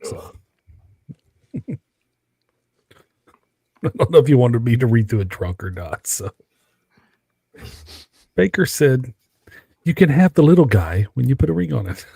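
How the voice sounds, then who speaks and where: Casting: husky, mellow male streamer, dry close sound, reads chat aloud. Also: mid-range voice, big pitch swings, unhurried, pauses often, no echo. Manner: reads out chat comments between laughs. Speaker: livestream host. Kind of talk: casual livestream banter.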